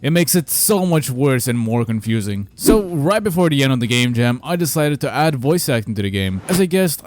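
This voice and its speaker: A man's voice: mid-range voice, a little mellow. Guy narrating his game dev video, mid voice, dry self-mocking humor, music behind him. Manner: self-deprecating, sardonic. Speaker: video creator, game developer.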